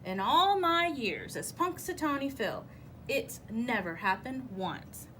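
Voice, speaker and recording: A sleepy old male groundhog performed by a woman from a children's storybook. He grumbles dismissively, dragging out his words with wide rises and falls in pitch. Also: very animated, takes it slowly, a bit bright, slight background noise, full character voice. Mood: grumbling, dismissive.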